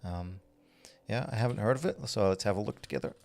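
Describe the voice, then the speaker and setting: A man, chatting casually on a livestream and answering viewers' questions while he works, relaxed and rambling with lots of fillers and restarts. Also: on the low side, fast. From Belgium. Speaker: streamer answering chat.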